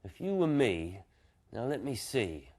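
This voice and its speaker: A man's voice: mid-range voice, big pitch swings, a little slow, darkish tone. A man voicing a playful, sleepy father in a children's picture-book story, teasing and childlike in his eagerness. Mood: musing.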